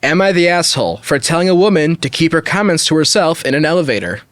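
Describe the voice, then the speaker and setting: Male podcast host, lively swooping delivery, close dry sound, playful and casual. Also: fairly high pitch, quick pace, no room sound. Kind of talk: casual comedy podcast banter, ad read and story reading.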